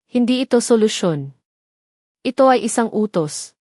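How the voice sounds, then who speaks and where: A woman's voice: a little high, expressive, normal speed, dark tone, no room sound, clean studio sound. A woman voicing a cold, authoritative, ruthless male fixer in a narrated drama story. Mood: cold, commanding. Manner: commanding, ruthless.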